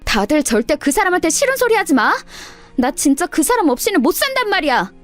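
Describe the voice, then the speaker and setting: A woman's voice: extremely high pitch, sing-song, brisk, soft background music, pitched above the performer's own voice. A woman voicing a lovesick, stubborn young adult daughter in story dialogue, whining and insistent. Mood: desperate.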